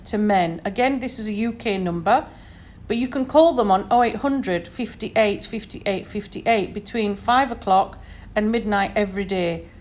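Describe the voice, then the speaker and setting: A woman with a Sheffield accent talking alone on a podcast, earnest and advising, often checking in with "okay?" and "you know". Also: medium pitch. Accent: Sheffield, Northern English. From Sheffield, England. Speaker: podcast host. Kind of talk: solo podcast talk.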